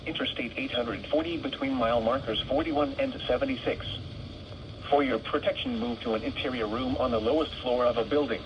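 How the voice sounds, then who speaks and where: A synthetic male announcer voice at medium pitch comes through a weather radio with clear background noise. It delivers formal severe weather warnings in a measured, impersonal manner. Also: muffled recording. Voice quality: synthetic text-to-speech voice. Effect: weather radio broadcast. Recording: noisy weather radio picked up in room.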